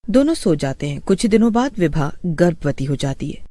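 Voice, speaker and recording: A woman narrates a scripted horror story. Her voice is soft-edged and mellow, with lively rises and falls. The recording is dry and close. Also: low-pitched, moderate pace, dry recording.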